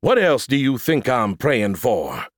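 A man voicing a prankster who poses as a lecherous Southern pastor, in a deepened, drawling, exaggerated Southern accent. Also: sing-song, no room sound, clean studio sound. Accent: exaggerated American Southern. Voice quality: put-on deepened voice. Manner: slow, drawling, smarmy.

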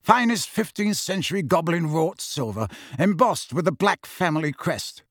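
A man's voice: on the high side, animated, moderate pace, fairly bright tone, dry recording, studio quality, voice pushed up. A man voicing a grim, bitter, restless man with a sarcastic way of talking, in an audiobook. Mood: dry, disdainful. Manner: sarcastic, colloquial.

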